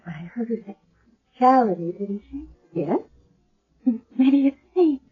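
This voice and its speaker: A woman's voice: on the high side, animated, a little slow, husky, faint music behind, heavily acted. An actress playing a young woman with amnesia, hesitant and stammering, in a 1970s radio drama. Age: young adult. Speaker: patient with amnesia. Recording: dull old radio broadcast.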